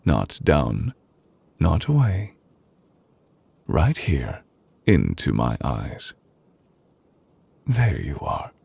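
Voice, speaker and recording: A man playing a solemn, devoted fantasy judge in an intimate ASMR roleplay, speaking gently and deliberately in a husky voice, comforting his beloved. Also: a bass voice, wildly swooping pitch, no echo, heavily acted. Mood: tender, concerned. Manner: slow, deliberate, gently reassuring with pauses. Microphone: close, dry studio microphone.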